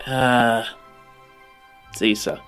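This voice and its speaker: Halting male game master over soft music. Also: medium pitch, fairly even intonation. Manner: halting, descriptive. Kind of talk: improvised tabletop game narration.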